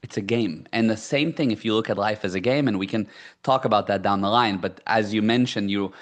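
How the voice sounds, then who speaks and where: A man's voice: lowish voice, fairly quick. A middle-aged man born in South Africa whose first language is Hebrew, speaking as an entrepreneur guest in a casual podcast interview and stressing his points by repeating them. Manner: emphatic, repeats key phrases.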